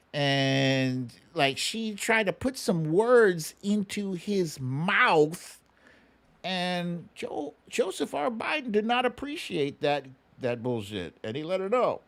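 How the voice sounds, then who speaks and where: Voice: mid-range voice, big pitch swings, slow pace. A show host giving casual, conversational political commentary, swearing freely and acting out what others said. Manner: acts out quotes animatedly.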